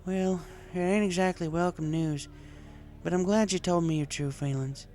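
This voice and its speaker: Man voicing high-pitched folksy young farm mare. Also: steady tone, normal speed, mellow, a little hiss or hum, natural voice. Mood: accepting. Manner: folksy, plain-spoken. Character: young female country farm pony, plain-spoken, kind, wounded.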